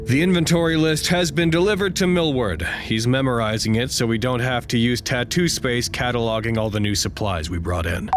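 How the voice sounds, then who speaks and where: A man's voice: medium pitch, fairly even intonation, a little fast, few pauses, a little hiss or hum, close to an everyday voice. A man acting in an audio drama as a weary, earnest staff administrator, speaking in a dry, understated way. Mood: businesslike.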